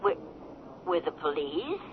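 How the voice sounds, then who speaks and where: A woman's voice: fairly low pitch, huge pitch swings, measured pace. A woman playing a shrewd, wary elderly cousin in a 1970s radio drama. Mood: cautious concern. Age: older. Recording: tinny, noisy 1970s radio recording.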